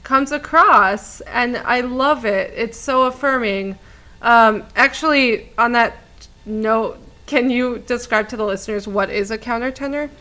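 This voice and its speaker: A woman's voice: on the high side, a little slow, pushed and bright. A woman hosting a podcast, asking questions in an enthusiastic, affirming way. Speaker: podcast host, interviewer.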